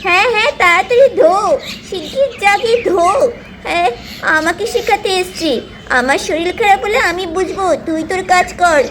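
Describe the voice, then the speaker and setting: A woman's voice: very high voice, moderate pace, background noise. A woman voicing a vain, bossy, short-tempered young mother doll in a children's cartoon, with a haughty, scolding manner. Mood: bossy, angry.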